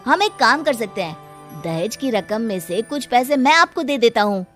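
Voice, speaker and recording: A woman's voice: on the high side, big pitch swings, normal speed, a bit bright, soft background music, pitched above the performer's own voice. A woman voicing a young groom in a story, polite, confiding and persuasive.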